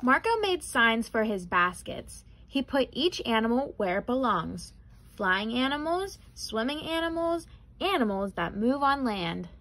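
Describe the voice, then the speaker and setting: A young adult woman reading a children's picture book aloud in an expressive, careful way. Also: on the high side, measured pace, poor audio. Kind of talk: children's storybook read-aloud.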